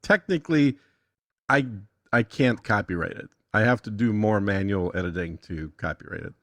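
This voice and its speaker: A man with a fairly low voice explains things casually, step by step, as a guest walking through a tutorial. He thinks aloud in a matter-of-fact way, and the sound is close and free of room echo. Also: normal speed. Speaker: podcast guest giving a tutorial.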